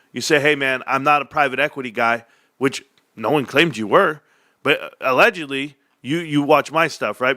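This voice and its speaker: Man, young adult, combative sarcastic rant, bright voice, dry close mic. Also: fairly high pitch, no echo. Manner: combative, sarcastic, reads messages aloud. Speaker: stock commentary streamer. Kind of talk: heated casual rant.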